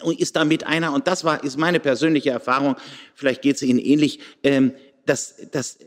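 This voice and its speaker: A man's medium-pitched voice rings with long hall reverb. He speaks as a panel guest, reflective and hesitant, often searching for the next phrase. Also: level tone, normal speed.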